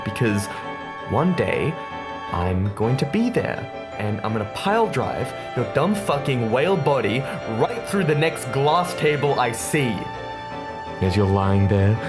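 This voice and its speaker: A man playing a vengeful, menacing character in a dramatic comic monologue, swearing and dropping into whispers. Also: mid-range voice. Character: vengeful man menacingly threatening a whale, unhinged and theatrical. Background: music.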